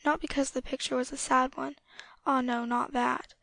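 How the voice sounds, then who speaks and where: A high-voiced woman narrates a story from a script. Her audiobook reading has some creak in it and a dry, close sound. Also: level tone, moderate pace, fairly bright tone, no echo.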